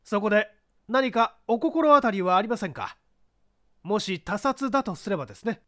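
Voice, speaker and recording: An authoritative prosecutor in a mystery story, acted out by a male reader, questions witnesses formally. His voice is high and animated, with deliberate, probing phrasing. The recording is dry. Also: dry recording.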